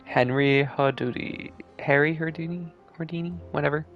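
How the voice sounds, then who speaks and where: A man's voice: on the high side, fairly even intonation, normal speed, lo-fi sound. A man giving casual, muttered, offhand commentary while streaming a game. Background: faint game music.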